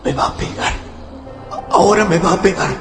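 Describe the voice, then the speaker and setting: A man's voice: sits high, animated, normal speed, loud music behind the voice. A man voicing a wary, proud wolf-dog thinking aloud in a suspicious, defiant way, in a radio drama. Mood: fearful, defiant. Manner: suspicious, defiant inner monologue. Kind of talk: Spanish-language radio drama acting.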